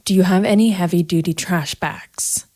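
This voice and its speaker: Woman playing a grim, low-voiced female vigilante. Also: moderate pace, darkish tone, in a large hall, close to an everyday voice. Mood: grim, controlled. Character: grim female masked vigilante private investigator, cold and methodical. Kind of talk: first-person noir audio drama narration. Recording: music bed behind the voice.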